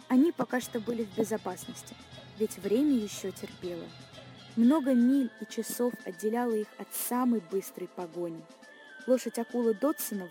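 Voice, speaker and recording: A woman's voice: medium pitch, mellow. A young woman reading scripted narration for an audio play of a short story. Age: young adult.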